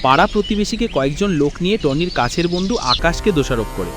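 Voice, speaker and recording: A man's voice: on the high side, animated, quick pace, over music. A man narrating a scripted horror story for a cartoon.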